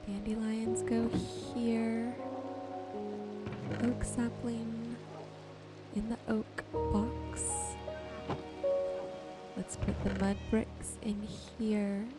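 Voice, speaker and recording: A woman softly and gently narrating her gameplay in an ASMR style, musing to herself as she goes. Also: fairly high pitch, level tone, very slow, pauses often, loud music behind the voice. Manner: soft-spoken, gentle musing to herself while sorting. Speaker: gaming video host.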